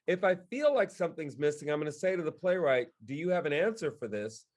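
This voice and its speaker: Higher-voiced man chatting, quoting himself. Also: expressive, quick pace. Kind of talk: casual chat.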